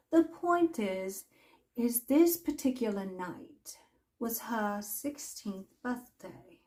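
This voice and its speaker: Lady acting as a haughty old fairy-tale sorceress, really slow and theatrical, kinda high voice. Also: mellow, exaggerated voice. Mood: mysterious. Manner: theatrical, haughty, deliberate dramatic pauses. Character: imperious, mischievous older female magical storyteller in a fantasy world. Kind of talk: in-character fantasy storytelling roleplay.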